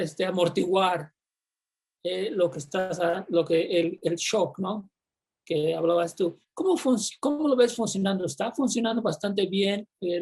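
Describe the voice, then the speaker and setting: A panel moderator poses long, winding questions to the speakers. The speech hesitates often, with fillers and repeated words, and sits at a middle pitch. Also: darkish tone, breathy edge.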